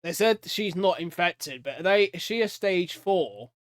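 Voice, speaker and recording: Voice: mid-range voice, expressive, a little slow, no echo. Casual, slangy reaction host, dry close sound. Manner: slangy, exclamatory.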